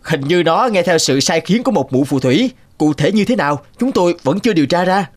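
Polite young questing prince. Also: male voice, high-pitched, lively intonation, a little fast. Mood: courteous, concerned. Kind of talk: cartoon voice acting. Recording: faint music behind.

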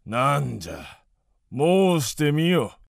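Gravelly, imperious old king. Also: fairly high pitch, big pitch swings, slow, dry recording, clean studio sound, full character voice. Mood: haughty, demanding. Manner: haughty, archaic lordly speech. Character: imperious, greedy, suspicious old king of ancient China. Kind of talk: Japanese radio drama acting.

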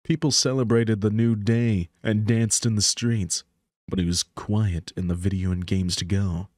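A man's voice: fairly low pitch, steady tone, measured pace, a little mellow, dry recording, clean studio sound. A man reading scripted story narration for a visual novel. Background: background music.

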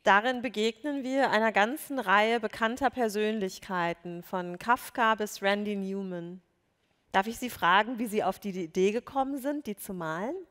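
A woman's voice: medium pitch, moderate pace, fairly bright tone. A woman moderates a stage conversation with polite, formal questions. Her mid-range voice sounds through a large hall with a long reverb.

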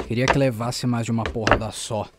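A man's voice: medium pitch, fairly even intonation, a little fast, very noisy, natural voice. An actor playing an injured, desperate, foul-mouthed Brazilian man in an audio drama, urgent and emotional. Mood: grim. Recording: music over the voice.

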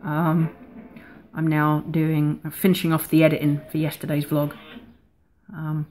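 Low-pitched woman chatting casually. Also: completely flat delivery, a little slow, a little mellow. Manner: hesitant, rambling. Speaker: vlogger. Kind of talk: casual chat to camera. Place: living room indoors. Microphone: camera or webcam at arm's length.

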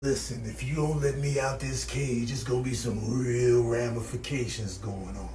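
A man's voice: mid-range voice, steady tone, normal speed, warm tone. An American man doing improvised comedic animal voice-overs with theatrical, slangy swagger. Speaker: comedy voice-over performer. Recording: noisy compilation clip audio.

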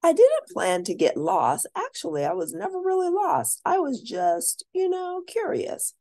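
A woman's voice: very high-pitched, wildly swooping pitch, a little slow, creaky, mellow, voice pushed up, heavily acted. A woman voicing a curious, confident, chatty young girl in a storybook, eager and emphatic. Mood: confiding.

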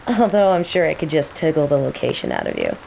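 A woman's voice: sits low, fast talker, creaky, full character voice, low-quality recording. A woman playing a teasing rival mage who interrogates a captive with casual, coaxing menace in an audio roleplay. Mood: casual, searching. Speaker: voice actor in scripted audio roleplay. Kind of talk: intimate scripted audio roleplay acting. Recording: steady rain noise behind the voice. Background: rain.

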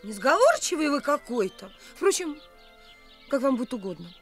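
A woman's voice: sits high, sing-song, normal speed, faint music behind. An actress playing a capricious, teasing, bored young provincial woman in a radio play, mocking and imperious. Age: young adult.

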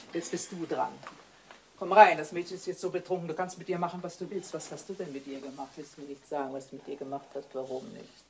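A woman's voice: fairly low pitch, natural voice. A woman playing a jealous, bitter wife, needling and dramatic, in a German crime radio play. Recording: noisy old radio play recording.

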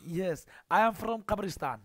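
A man's voice: sits high, lively intonation, moderate pace, breathy edge, no room sound. A man in his thirties with a Kurdish accent, chatting casually as a livestream host and repeating short phrases into a headset mic. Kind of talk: casual chat with strangers on a livestream.

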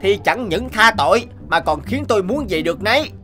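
A man voicing a brash, free-spirited court clown with a mocking, theatrical manner in an animated fairy tale. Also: high-pitched, brisk, music in the background. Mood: cocky.

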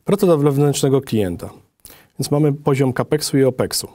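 A middle-aged man giving a formal, methodical slide presentation in a measured way. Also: mid-range voice, expressive, dark tone, no room sound. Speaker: design office director presenting.

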